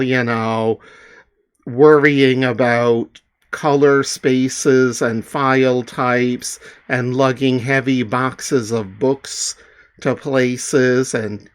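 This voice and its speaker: A man's voice: mid-range voice, clear, resonant tone. A man, a small comics publisher, chatting in a rambling, hesitant, self-deprecating way with many fillers. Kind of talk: casual spoken commentary.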